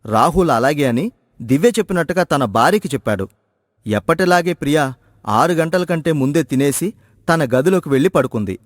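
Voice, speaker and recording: A man tells a scripted story as narrator. His voice sits a little high for a man. The recording is dry, with little room around it. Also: dry recording.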